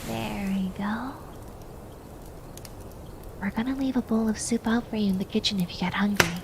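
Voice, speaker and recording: A woman voicing a caring, sensible, bossy young twin maid in a hushed, often whispered ASMR roleplay. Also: mid-range voice, moderate pace, creaky, background noise, close to an everyday voice. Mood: tender hushed. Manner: soft-spoken, hushed, often slipping into whispers.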